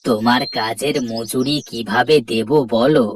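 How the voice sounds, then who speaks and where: A woman voicing a calm, generous male farmer with a slow, steady way of advising. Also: a bass voice, noisy background. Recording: distant voice with cartoon music behind.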